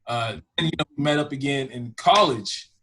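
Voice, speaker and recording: A man's voice: medium pitch, moderate pace, fairly bright tone, poor audio. Young male podcast host, rambling. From Houston, Texas. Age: young adult. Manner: rambling, full of fillers like "you know". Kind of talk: casual chat.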